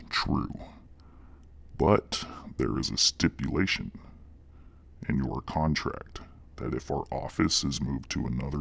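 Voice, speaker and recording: A man voicing a menacing reptilian demon boss with a deep gravelly growl, speaking in slow, mock-polite, condescending corporate fashion. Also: dry recording, heavily acted. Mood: cold, condescending.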